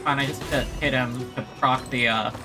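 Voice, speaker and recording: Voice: medium pitch, steady tone, moderate pace, over music. A game streamer giving reactive live commentary, muttering to themself and reading dialogue aloud.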